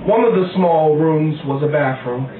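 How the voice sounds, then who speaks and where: Male poet, live reading, deliberate phrasing, slightly high voice, recorded far off in an echoey room. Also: phone-quality sound. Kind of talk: live spoken-word poetry reading. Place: small cafe poetry night, indoors.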